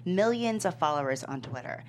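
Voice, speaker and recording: A woman acting a tough, wry, persuasive dealmaker in a script table read, assertive and creaky-voiced. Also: lowish voice, lively intonation, normal speed, lightly acted. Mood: assertive, sly. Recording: faint background hum.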